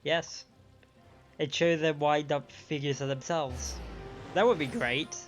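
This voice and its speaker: A man hosting a game stream, reading story dialogue aloud in a measured way with small stumbles and adding his own commentary. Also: fairly high pitch, steady tone. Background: game music.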